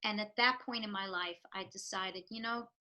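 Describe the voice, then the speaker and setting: Interview guest: woman with a New York accent, bright and forceful tone, reflective and earnest. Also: mid-range voice, steady tone, normal speed. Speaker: real estate professional. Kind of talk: interview answers.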